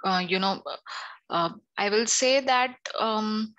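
A woman's voice: medium pitch, slow pace, a bit bright, highs cut off. A young woman from India on a headset video call, explaining law hesitantly with many fillers. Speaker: legal analyst guest.

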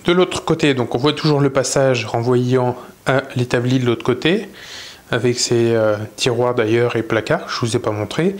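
A man in his thirties casually showing his woodworking workshop, talking in a relaxed way with many pauses and fillers. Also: mid-range voice. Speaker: woodworking channel host. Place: indoor wood workshop.

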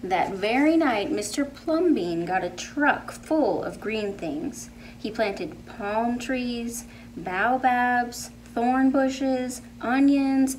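A woman in her thirties reading to children in a measured, deliberate storytelling delivery. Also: on the high side, low-quality recording. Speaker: storytime reader and art teacher. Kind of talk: picture book read-aloud for children. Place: indoor room with painted wall. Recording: faint room background noise.

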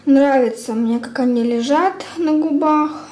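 A young woman chatting casually through a product review as a beauty vlogger, informal and unhurried, thinking aloud. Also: sits high, darkish tone. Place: indoors at a table at home. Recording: clear background room noise.

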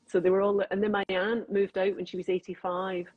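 A middle-aged Scottish woman with a Glaswegian accent, talking quickly and telling stories in a casual interview over a video call. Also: mid-range voice, level tone, phone-quality sound. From Scotland. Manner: chatty storyteller, quick, laughs often. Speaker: crime novelist guest.

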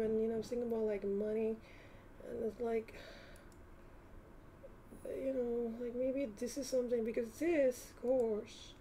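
A woman vlogger talks casually and hesitantly, thinking aloud as she browses. Her voice sits a little high with a mellow, relaxed tone. There is faint noise behind her in the recording. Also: poor audio. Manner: rambling, hesitant.

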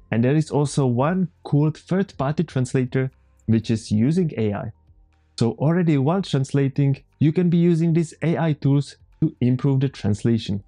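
A man in his thirties with a Czech accent, calmly walking viewers through a computer tutorial step by step as the channel's host. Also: medium pitch. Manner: calm, methodical step-by-step explaining. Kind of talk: screen-recorded software tutorial. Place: home room, indoors.

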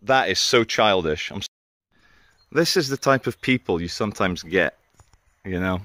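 A man in his thirties filming himself outdoors on a driveway with a handheld camera, talking loudly, pleading and indignant. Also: low-pitched, lively intonation, moderate pace, dry recording. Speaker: YouTuber filming a confrontation. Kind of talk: confrontational self-filmed vlog. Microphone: handheld camera mic.

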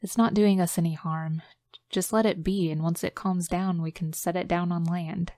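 A woman voicing a soft-hearted young male Viking who speaks gently in a storybook reading. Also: lowish voice, fairly even intonation, normal speed, no room sound, clean studio sound, close to an everyday voice. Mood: gentle.

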